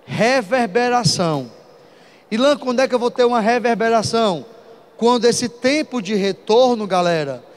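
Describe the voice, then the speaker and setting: Lively high-voiced male physics teacher. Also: slight background noise. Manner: lively, talks straight to students with quick asides. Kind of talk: exam-prep physics lesson.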